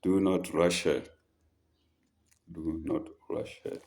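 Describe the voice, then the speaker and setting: Male advice-show host: slow and halting as he reads letters aloud, low in pitch. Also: little pitch movement, a little mellow. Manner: halting, rereads phrases and corrects himself. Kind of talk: reading listener letters aloud with commentary.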